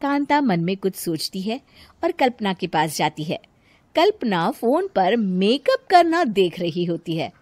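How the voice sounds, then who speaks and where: A woman's voice: medium pitch, big pitch swings, measured pace, darkish tone, no echo. A woman narrating a scripted cartoon story in a plain storytelling manner.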